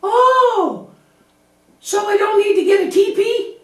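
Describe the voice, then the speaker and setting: A woman's voice: very high-pitched, slow. A woman voicing an anxious, childlike little lamb puppet in a children's church show, naive, earnest and chatty. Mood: naive, puzzled. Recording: echoey room, distant microphone.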